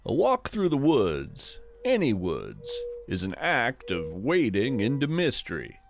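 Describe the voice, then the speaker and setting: A man playing a gentle, folksy naturalist who hosts a scripted fiction podcast, musing poetically and with wonder about the woods. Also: mid-range voice, huge pitch swings, creaky, noisy background, exaggerated voice. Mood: reverent wonder. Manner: reflective, poetic, unhurried musing. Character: gentle, wonder-struck folksy naturalist narrating a woodland walk. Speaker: podcast host.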